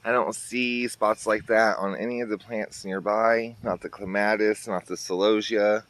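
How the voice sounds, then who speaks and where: A man's voice: fairly low pitch, steady tone, moderate pace, fairly bright tone, no echo. A man giving a casual walkthrough of his garden to viewers, pointing out plants as he goes and sounding a little congested, recorded outdoors on a handheld phone. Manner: chatty, pointing things out to viewers. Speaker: garden vlogger. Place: outdoors in a garden near a road. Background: faint traffic and outdoor ambience.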